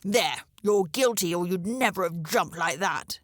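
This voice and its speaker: Woman as a hoarse, blustering old male crow. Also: lowish voice, unhurried, no echo, clean studio sound. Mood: accusing. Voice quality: hoarse, croaking. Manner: stern, accusing, blustering.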